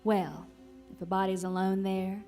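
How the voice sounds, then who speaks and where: A woman's voice: mid-range voice, flat delivery, measured pace, warm tone, faint music behind. A woman storyteller narrating a folksy horror tale live on stage, with dramatic phrasing and rustic dialect. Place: live stage in a recreation center.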